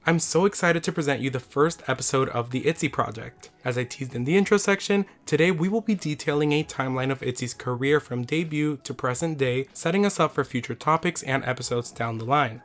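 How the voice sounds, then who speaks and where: A man hosting a scripted video essay, his voice slightly raspy from losing it, reading with enthusiasm. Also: medium pitch, a little fast, hardly any pauses, faint music behind.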